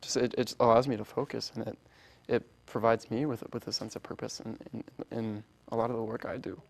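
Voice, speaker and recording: A young man whose first language is Polish, speaking as a guest in an interview, earnest and halting with many restarts. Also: medium pitch, flat delivery, gravelly. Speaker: orchestra founder, guest. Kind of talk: interview conversation.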